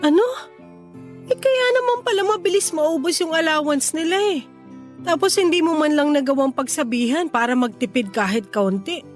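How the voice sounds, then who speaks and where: A woman's voice: sits high, lively intonation, normal speed. A woman playing a hurt, indignant middle-aged wife and mother in a radio drama, pleading and reproachful, with a Filipino accent. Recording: faint music bed behind voice.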